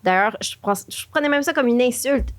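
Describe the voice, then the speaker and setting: A woman's voice: on the high side, fairly quick. A young woman with a Quebec French accent co-hosting a casual podcast, slangy and slipping English phrases into her French. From Quebec, Canada, of Chilean parents. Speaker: podcast co-host. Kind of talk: casual conversational podcast chat.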